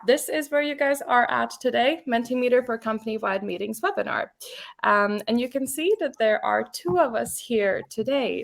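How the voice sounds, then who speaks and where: A woman presenting a webinar over a computer mic, her slightly high voice carrying a gravelly fry. She talks casually and warmly to her audience, leaning on fillers as she goes. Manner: casual, friendly, many fillers. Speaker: webinar host, content marketing specialist.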